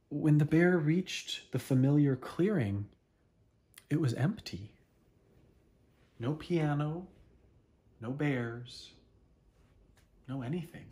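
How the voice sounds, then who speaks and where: A man in his thirties reading a children's picture book aloud expressively, with dramatic, deliberate pauses. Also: mid-range voice, darkish tone. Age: 30s. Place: small living room at home, indoors.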